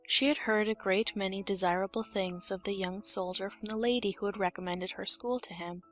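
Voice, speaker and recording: A woman narrates a storybook in a scripted reading style. Her voice sits at an average pitch. Faint music plays behind her. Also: steady tone, brisk, fairly bright tone. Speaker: audiobook narrator.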